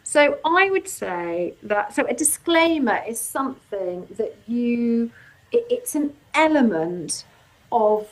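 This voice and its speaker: A woman hosting a live legal webinar, reading out audience questions and answering them informally, her voice getting croaky. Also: a little high, very animated, slow, low-quality recording. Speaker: legal workshop host.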